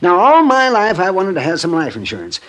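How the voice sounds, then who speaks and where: Lilting, high-voiced, genial old down-and-out. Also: male voice, wildly swooping pitch, fairly quick, a bit bright, heavily acted. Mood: rambling, admiring. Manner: chuckling, rambling, childlike, stammering. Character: genial, naive, tipsy old Bowery down-and-out. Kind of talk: old-time radio drama acting.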